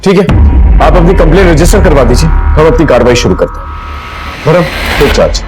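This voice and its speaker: अभिनेता की आवाज़ में सख़्त, उतार-चढ़ाव भरा पुलिस अफ़सर. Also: male voice, fairly high pitch, lively intonation, fairly quick, close to an everyday voice, poor audio. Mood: businesslike probing. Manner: terse, authoritative. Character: businesslike male police officer questioning a worried family. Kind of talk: TV crime drama acting. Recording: background music over dialogue.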